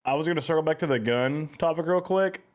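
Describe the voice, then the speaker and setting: A man's voice: a little high, fast talker. A man co-hosting a casual podcast, teasing the others and laughing often. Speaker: podcast co-host. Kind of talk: casual chat.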